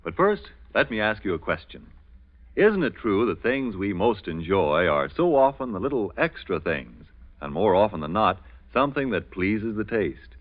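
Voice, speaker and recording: A man's voice: on the low side, big pitch swings, normal speed. A man with an American accent reading a 1940s radio commercial in a polished, persuasive announcer style. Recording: tinny, band-limited old radio.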